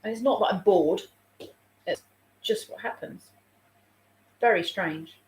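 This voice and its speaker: A woman's voice: mid-range voice, measured pace. A woman, a childminder chatting casually on a live stream and reading out viewer comments, a little snuffly with a sore throat coming on. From England. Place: room at home. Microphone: phone. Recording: roomy home room echo.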